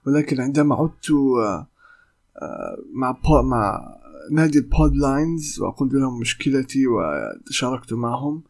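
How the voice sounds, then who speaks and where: Husky young man hosting a podcast. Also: medium pitch. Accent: Palestinian. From Palestine. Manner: hesitant, many "uh" fillers and restarts. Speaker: podcast host and voice actor. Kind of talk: solo podcast talk in Modern Standard Arabic.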